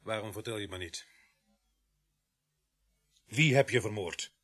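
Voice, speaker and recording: An actor in a radio play voicing a stern, sardonic police sergeant who swings from quiet coaxing to barking. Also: male voice, fairly low pitch, a little slow. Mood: stern, insistent.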